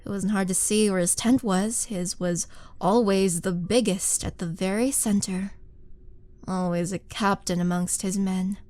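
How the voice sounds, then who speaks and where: A woman playing a vengeful, seductive monster warrior in a wistful, theatrical roleplay monologue, with dramatic pauses. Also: mid-range voice, steady tone, warm tone, lightly acted. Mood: reminiscing.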